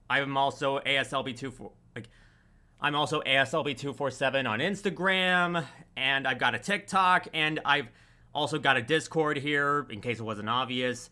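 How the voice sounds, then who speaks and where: A man's voice: on the high side, pushed and bright, smooth. A man speaking casually and off the cuff as a panel guest, somewhat hesitant, listing things one by one. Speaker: panel guest, video creator. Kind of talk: casual unscripted panel chat.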